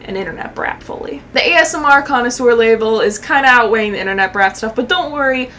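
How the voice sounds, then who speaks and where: A young woman with a fairly high voice gives casual video commentary. She is slangy, sweary and sarcastic, with faint noise behind her. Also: lively intonation, quick pace, sharp tone. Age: young adult. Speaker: YouTube commentator.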